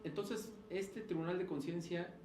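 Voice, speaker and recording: A man's voice: high voice, steady tone, a little slow, a little mellow, echoey room, a little hiss or hum. A man hosting an interview in a casual way, feeling for his words as he frames questions. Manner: hesitant, searching for words. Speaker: interviewer, host.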